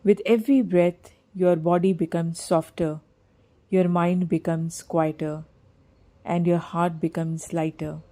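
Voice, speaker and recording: A woman narrating a scripted guided meditation in a soothing, gently measured manner. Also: lowish voice, fairly even intonation.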